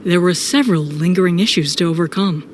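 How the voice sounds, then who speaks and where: A woman's voice: on the low side, a little fast, a little hiss or hum, natural voice. Woman as a dutiful, weary guardian warrior narrating. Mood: measured, concerned. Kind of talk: scripted audio drama narration.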